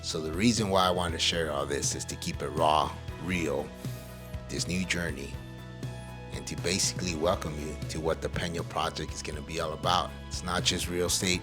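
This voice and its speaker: A man's voice: a bass voice, steady tone, normal speed, music in the background. A man from El Salvador hosting a podcast, speaking casually and motivationally in an earnest, emphatic way. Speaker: podcast host.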